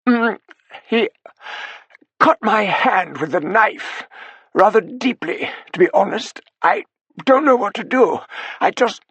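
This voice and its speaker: A man voicing a frightened, injured male manservant who speaks on the phone in a strained, muted, breathy voice. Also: sits high, lively intonation, slow pace, pauses often, fairly bright tone, no echo, pitched above the performer's own voice, full character voice.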